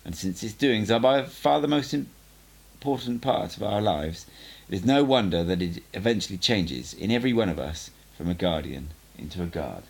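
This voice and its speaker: A man voicing a male sorcerer teacher in an audiobook, cutting and assured, explaining mysteries in a deliberate, didactic and emphatic way. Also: low-pitched, lively intonation, gravelly, slightly rough, heavily acted. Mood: instructive, grave. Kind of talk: audiobook character dialogue.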